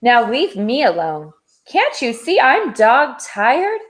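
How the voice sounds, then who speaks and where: A woman's voice: fairly high pitch, huge pitch swings, takes it slowly, voice pushed up, heavily acted. A woman voicing a grumpy, tired little dog in a storybook, muttering and sarcastic.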